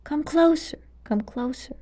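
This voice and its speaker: A woman voicing a villainous, dying piglin sorceress with a growling, wheezy croak and a wheedling, melodramatic manner, in a children's storybook reading. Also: high-pitched, measured pace, dark tone, pitched above the performer's own voice. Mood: feeble, pleading.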